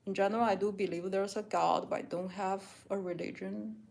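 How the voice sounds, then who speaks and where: A woman with a Chinese accent chats casually in a home vlog. She reads each viewer question aloud, then answers it frankly, leaning on "like" as she thinks. Her voice sits at an ordinary middle pitch. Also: fairly even intonation, brisk, creaky, a little mellow. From China. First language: Mandarin. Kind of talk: casual chat. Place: home kitchen, doing dishes.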